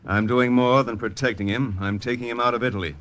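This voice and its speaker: A man's voice: medium pitch, fairly even intonation, fast, fairly bright tone, natural voice. An actor playing a terse, hard-boiled wartime agent who narrates his own story in an old-time radio drama. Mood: firm. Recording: old radio recording with music behind.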